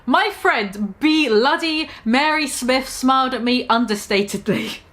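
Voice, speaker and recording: A woman's voice: high-pitched, expressive, a little slow, a bit bright. A woman reading a story aloud as comic dramatic narration, theatrical and amused, barely keeping a straight face.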